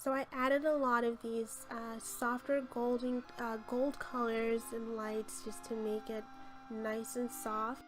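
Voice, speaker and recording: Hoarse woman with a flat, high voice. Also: slow, poor audio. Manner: gushing, chatty, with frequent ums. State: hoarse from a cold. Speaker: game channel host. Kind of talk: casual voice-over tour of a game build. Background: light background music.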